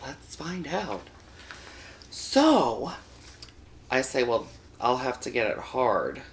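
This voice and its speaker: A man's voice: mid-range voice, very animated, takes it slowly. A man hosting a comic storytelling show from a studio, telling a bawdy tale in a campy, sassy way and addressing viewers as boys and girls.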